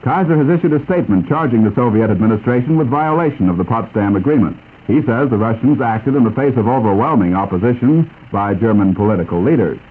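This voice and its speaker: A man's voice: medium pitch, animated, fast talker, slightly rough. A man with an American accent reading a scripted 1940s radio newscast. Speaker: radio news reporter. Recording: muffled 1940s acetate radio broadcast recording.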